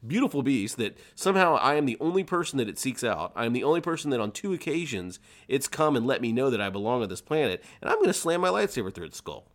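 A man's voice: medium pitch, very animated, fast talker, few pauses. A man co-hosting a podcast in a casual book discussion, talking emphatically and voicing characters' thoughts as he goes. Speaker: podcast co-host.

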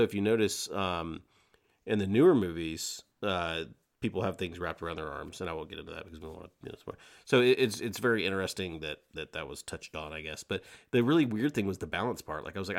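Low-voiced man chatting casually. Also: animated, fast talker. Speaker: podcast co-host. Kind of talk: casual discussion of a fiction audiobook.

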